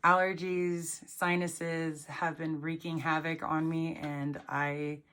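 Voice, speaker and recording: A woman with a hoarse, cracking voice from allergies, chatting casually through a step-by-step sewing tutorial with lots of fillers. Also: low-pitched, flat delivery, takes it slowly, fairly bright tone. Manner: chatty, upbeat, many fillers like "like" and "oop". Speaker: sewing pattern designer teaching a tutorial.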